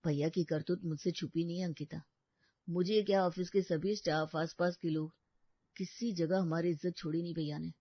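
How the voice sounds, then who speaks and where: A woman's voice: lowish voice, fairly even intonation, moderate pace, no echo, natural voice. A level-headed young husband in a cartoon story who reasons things out and teases family now and then, put on by a woman narrator. The sound is dull and lo-fi with a dry feel. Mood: earnest. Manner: reasoning, teasing at times.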